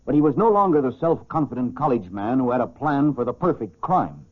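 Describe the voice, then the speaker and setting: A man's voice: mid-range voice, expressive, brisk, close to an everyday voice. A man as a sober police chief, giving scripted dramatic narration of a crime case on 1940s radio. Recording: muffled, boxy 1940s radio recording.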